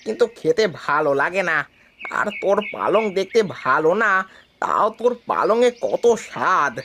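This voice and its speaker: A man voicing a competitive, boastful village farmer in an animated moral tale. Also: fairly high pitch, moderate pace, a little hiss or hum, full character voice. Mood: regretful.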